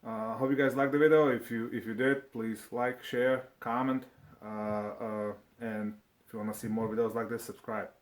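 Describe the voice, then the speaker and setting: A man from Slovakia with a Slovak accent presents a casual, unscripted review, speaking haltingly and often repeating his words. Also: mid-range voice, level tone. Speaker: video presenter reviewing firearms.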